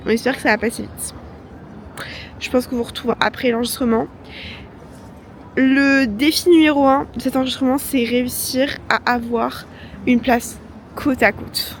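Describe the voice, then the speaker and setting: Jeune femme, vlog de voyage, ton familier, argot, euh fréquents, aéroport bruyant. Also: female voice, high voice, fairly even intonation, moderate pace, pauses often. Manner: chatty, joking, slangy, many fillers. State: tired from travel. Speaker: travel vlogger. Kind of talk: casual vlog chat to camera. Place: airport, noisy public areas. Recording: noisy ambience behind.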